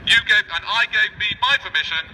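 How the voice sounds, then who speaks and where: A distant, noisy outdoor recording of a man with a high-pitched voice speaking off the cuff through a megaphone. He works the street like a protester, cheeky and provocative, throwing rhetorical questions at whoever is passing. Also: fast talker, pushed and bright. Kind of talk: improvised street speech and banter with passers-by. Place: outdoors on a busy city street. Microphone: megaphone outdoors, picked up by a camera at a distance.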